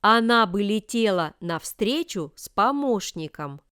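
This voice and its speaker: A woman reading example sentences for language learners with careful, deliberate enunciation. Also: mid-range voice, animated, fairly bright tone, smooth, dry recording, clean studio sound. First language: Russian. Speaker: language lesson reader.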